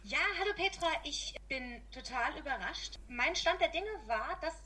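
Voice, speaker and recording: A woman co-hosting a podcast, talking fast and passionately. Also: high-pitched. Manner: fast, passionate, expressive talk. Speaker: podcast co-host. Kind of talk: casual history podcast chat.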